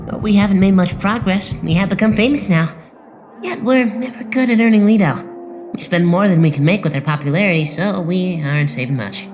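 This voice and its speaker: Low-toned, breezy boy idol voiced by a woman. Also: fairly quick, background noise, lightly acted. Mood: matter-of-fact. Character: confident, breezy teenage boy idol, eager to shine. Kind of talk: anime fan dub acting. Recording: music under the voice.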